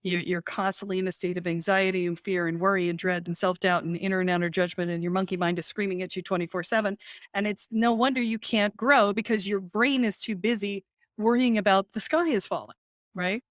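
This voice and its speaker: A woman guest on a podcast interview, a spiritual coach who talks in an animated, emphatic way, often tags "right?" and laughs easily. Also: medium pitch, fairly quick, no room sound, studio quality.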